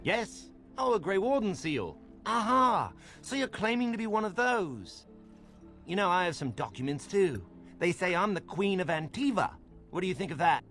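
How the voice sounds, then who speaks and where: A man's voice: high voice, big pitch swings, normal speed, a bit bright, faint music behind. A man playing an officious, smug young templar guard in a fantasy game, blustering and sarcastic. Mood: officious, mocking.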